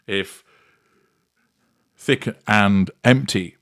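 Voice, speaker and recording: Low-pitched man, dry sardonic commentary. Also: extremely slow, a bit bright, dry recording. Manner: sardonic, mocking, drily theatrical. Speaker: commentary show host. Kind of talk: sarcastic scripted commentary.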